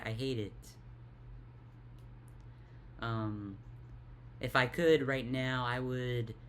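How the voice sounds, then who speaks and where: A young woman with a deep voice talks in a tired, halting way, stuttering and repeating words in a casual confessional vlog. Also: a little hiss or hum. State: tired, worn out. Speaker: vlogger.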